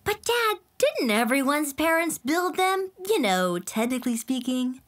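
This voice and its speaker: A woman playing an excitable, dramatic teenage monster girl in an audio drama, with a gushing manner. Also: sits high, a little slow. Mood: puzzled. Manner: gushing, dramatic, breathless lists. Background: music.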